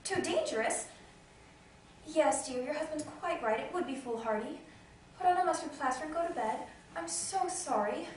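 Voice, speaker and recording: Woman acting bossy snobbish hostess mom, super high dramatic voice, stage comedy, noisy far off recording. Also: lively intonation, normal speed, a little mellow. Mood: sweetly social. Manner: melodramatic, indignant, commanding. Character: imperious, frantic society hostess mother, snobbish and easily enraged. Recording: echoey room, distant noisy mic.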